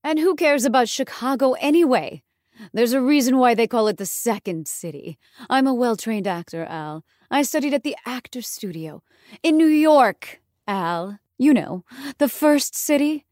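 A woman's voice: fairly high pitch, lively intonation, normal speed, a little mellow, dry recording, clean studio sound, lightly acted. A woman voicing a frustrated, ambitious young actress, dramatic and emphatic, in an audiobook. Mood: indignant, fed up. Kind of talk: audiobook dialogue acting.

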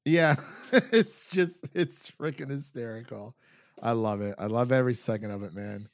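A man's voice: medium pitch, normal speed, no room sound, clean studio sound. A man hosting a film review podcast, chatting in an informal, enthusiastic, rambling way. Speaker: podcast host. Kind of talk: casual film review chat.

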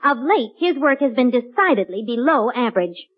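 A woman's voice: a little high, expressive, moderate pace, faint music behind. An actress playing a sensible, exasperated American mother with a scolding, pointed delivery in old radio sitcom style. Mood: pointed reading. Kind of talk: 1940s radio sitcom acting. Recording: muffled old radio broadcast.

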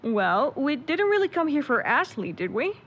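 A woman's voice: high voice, sing-song, moderate pace, fairly bright tone. A woman playing an upbeat, teasing young sleuth's sidekick in an audio drama, coaxing and playful. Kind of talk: naturalistic audio drama acting. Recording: dull sound, faint noise.

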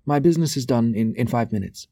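An agitated, furtive servant played by a male narrator in an audiobook story, lifted in pitch. He is deferential and pleading, stumbling over repeated words in his haste, with a close, dry sound. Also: brisk, dark tone, dry recording, natural voice. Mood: anxious, pleading. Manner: hasty, stammering, deferential.

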